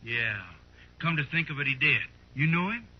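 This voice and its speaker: Western storekeeper: talkative and folksy, husky highish voice, radio drama on a vintage recording. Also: male voice, expressive, moderate pace. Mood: curious. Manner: folksy drawling storekeeper talk. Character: folksy, talkative frontier gun shopkeeper, a man. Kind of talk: 1950s radio western acting. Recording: muffled old 1950s radio recording.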